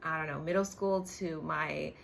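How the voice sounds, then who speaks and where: A woman's voice: low voice, steady tone, moderate pace, vocal fry, a bit bright, a little hiss or hum. A woman in her forties talking candidly and emphatically in a personal storytelling vlog, like a coach speaking to her followers. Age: 40s. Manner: candid, emphatic, frequent tag questions and swearing. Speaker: business coach.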